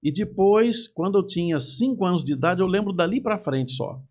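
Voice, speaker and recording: A middle-aged man from Campinas, a TV news anchor reminiscing in a measured, casual interview. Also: high-pitched, expressive, a little mellow. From Campinas, Brazil. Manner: reminiscing, measured, with frequent restarts.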